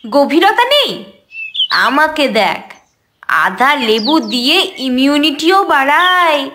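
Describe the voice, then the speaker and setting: A woman's voice: sits high, very animated, takes it slowly, background noise, full character voice. A woman voicing a proud, sassy female cup of tea in a cartoon comedy, bickering with teasing, scolding emphasis. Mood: miffed, proud. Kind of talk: cartoon audio comedy dialogue.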